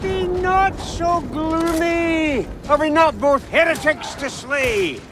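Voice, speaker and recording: An actor playing a pious heretic-slaying warrior in a video game, speaking in an archaic, declamatory manner. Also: male voice, very high-pitched, slow pace, noisy background. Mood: grim encouragement. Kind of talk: video game character dialogue.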